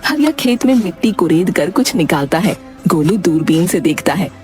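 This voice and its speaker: Low-voiced animated woman story narrator. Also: moderate pace, soft background music. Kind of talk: scripted children's story narration.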